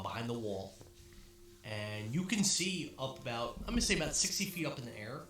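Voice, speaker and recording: A man narrating as dungeon master for a tabletop game, describing scenes with pauses and fillers. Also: fairly low pitch. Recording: echoey room, music behind.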